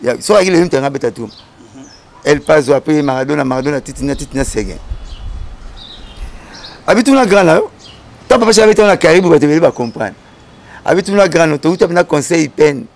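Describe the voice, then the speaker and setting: A man's voice: fairly high pitch, expressive, brisk, long pauses. A man telling long, digressive stories as a studio guest, often repeating words and restarting. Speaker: guest storyteller. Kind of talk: animated storytelling chat.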